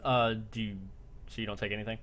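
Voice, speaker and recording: A man's voice: on the low side, level tone, fast, a bit bright. A man acting as game master, narrating a role play in a blunt, casual way and sometimes swearing. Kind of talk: narrating a live tabletop-style role play. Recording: noisy online voice chat.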